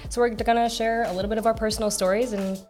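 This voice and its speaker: A young woman co-hosting a podcast, speaking casually and thoughtfully, often pausing with fillers. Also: fairly high pitch, steady tone, a little mellow, faint music behind. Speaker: podcast co-host. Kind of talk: casual chat.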